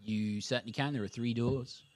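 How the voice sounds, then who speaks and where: A man's voice: mid-range voice, steady tone, normal speed, noisy background. A man narrating a tabletop role-playing game as the game master, describing scenes and calling for rolls.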